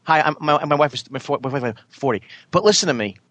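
Bright, halting man in his thirties. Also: a little high, expressive. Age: 30s. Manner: halting, repetitive, self-mocking. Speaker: podcast co-host. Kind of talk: casual banter.

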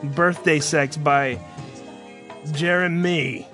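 A man's voice: on the high side, fairly even intonation, drawn-out words, fairly bright tone, soft background music. A man co-hosting a casual talk show, teasing and crude in his banter. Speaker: podcast co-host.

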